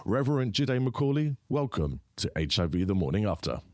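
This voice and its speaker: A man hosting a podcast, reading a scripted introduction and then asking measured interview questions. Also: on the low side, big pitch swings, a little mellow, no room sound, studio quality. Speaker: podcast host.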